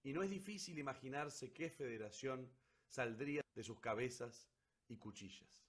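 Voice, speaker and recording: A man narrating a literary story for radio theatre in a solemn, deliberate, dramatic way. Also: medium pitch, fairly bright tone, breathy edge.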